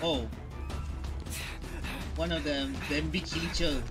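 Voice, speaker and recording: A man's voice: fairly high pitch, fairly even intonation, takes it slowly, over loud music. A man in his twenties giving casual, slangy reaction commentary, exclaiming and repeating his phrases. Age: 20s. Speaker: reaction video host, gamer. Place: small bedroom, indoors.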